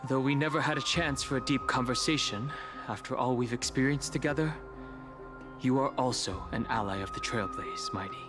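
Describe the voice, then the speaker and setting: An actor playing a calm, sincere and supportive young traveling companion in a video game, speaking politely and thoughtfully. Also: male voice, mid-range voice. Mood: reflective. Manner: thoughtful, polite, measured wording. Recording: loud music behind.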